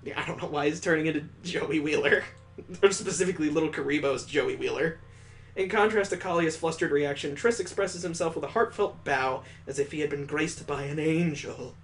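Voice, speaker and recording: Higher-voiced man, wry game playthrough narrator. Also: a little fast, faint music behind. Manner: wry, jokey, wanders off into side comments. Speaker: gaming video host. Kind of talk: reading a visual novel aloud with joking asides.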